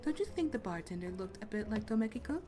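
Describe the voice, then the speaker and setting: A woman's voice: mid-range voice, animated, fast, close to an everyday voice. A woman voicing an enigmatic, teasing adult witch who speaks in cryptic, playful lines, in a fan-dubbed radio drama. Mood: calm. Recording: soft music behind.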